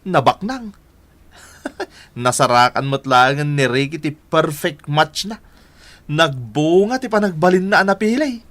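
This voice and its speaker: Cheeky, high-pitched Ilocano gossip. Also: male voice, expressive, unhurried, fairly bright tone. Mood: teasing, delighted. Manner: playful, exclamatory. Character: cheeky, gossipy neighbourhood man, teasing and admiring. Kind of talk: Ilocano radio drama acting.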